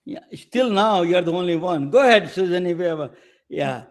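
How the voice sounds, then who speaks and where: A man hosting an interview, asking long questions in a halting way with many restarts. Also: high-pitched, animated, creaky. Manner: halting, repeats and restarts words. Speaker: webcast host. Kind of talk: casual interview conversation.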